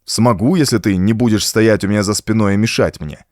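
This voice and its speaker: A man voicing a tough, guarded rancher in an audiobook, curt, blunt and gruff in manner. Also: lowish voice, brisk, darkish tone, dry recording, studio quality, lightly acted.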